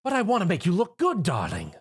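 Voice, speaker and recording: A man's voice: high-pitched, huge pitch swings, fairly quick, husky, voice pushed up, full character voice. A man voicing a prim, refined fashion-designer mare with a posh, theatrical manner. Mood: fussy.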